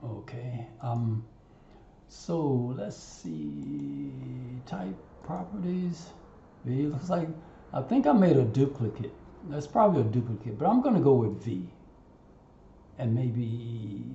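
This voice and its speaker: A man giving an unscripted software tutorial over a screen recording, haltingly thinking aloud and talking to himself as he works. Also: mid-range voice, huge pitch swings, gravelly. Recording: roomy, muffled, audible background noise.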